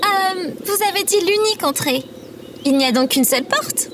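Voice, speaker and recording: A woman's voice: very high-pitched, animated, normal speed, heavy background noise. A woman playing a polite, logical, helpful adventurer in an audio drama, speaking precisely. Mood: puzzled.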